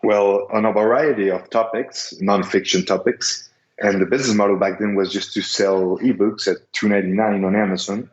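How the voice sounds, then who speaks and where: A young man from Mexico speaking English with a Mexican Spanish accent as a podcast guest, careful and halting, recorded on a dull, echoey line. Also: fairly low pitch, steady tone. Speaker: guest, business seller.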